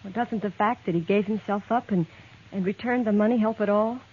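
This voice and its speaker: A woman's voice: medium pitch, fairly quick, breathy edge, lightly acted. An actress playing a distressed young frontier woman in a 1950s radio western, hesitant, anxious and polite. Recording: tinny, band-limited old radio recording.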